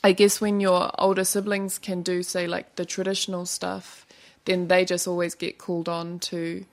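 A woman interviewing a guest, asking gentle questions in casual chat. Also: on the low side, little pitch movement, moderate pace.

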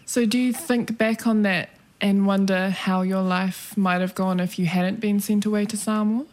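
A woman's voice: medium pitch, steady tone, moderate pace, a little mellow. Giggly chatty New woman host. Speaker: podcast host. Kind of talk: casual interview chat.

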